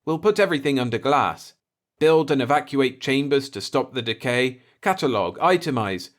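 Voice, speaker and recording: A man's voice: mid-range voice, animated, normal speed, pitched above the performer's own voice, lightly acted. A man voicing an eager, brash human explorer, emphatic and argumentative, in a science fiction story. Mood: eager, urgent.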